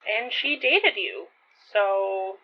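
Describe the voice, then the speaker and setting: A woman's voice: mid-range voice, expressive, drawn-out words, slightly rough, full character voice. A woman playing a teasing, caring young friend in a naturalistic audio drama, casual and wry in manner. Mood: nudging, teasing. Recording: thin, phone-like narrow band.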